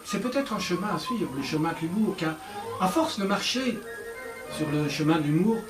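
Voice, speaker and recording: A naive, grandiloquent stage clown, played by a man, declaims in a very high, theatrical voice. The sound is roomy and comes from far off in a theatre, with music clearly playing under it. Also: animated, moderate pace. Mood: poetic. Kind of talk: live stage comedy act.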